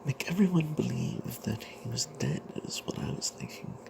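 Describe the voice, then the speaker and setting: A man telling a fantasy tabletop tale in a breathy ASMR whisper, halting with long pauses. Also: on the high side, wildly swooping pitch.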